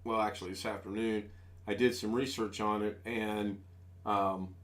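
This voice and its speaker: A man's voice: lowish voice, little pitch movement, normal speed, fairly bright tone. Rambling folksy man in his sixties. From United States. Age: 60s. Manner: rambling, folksy, self-deprecating. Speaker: live stream host. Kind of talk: casual live stream chat. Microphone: desk USB microphone.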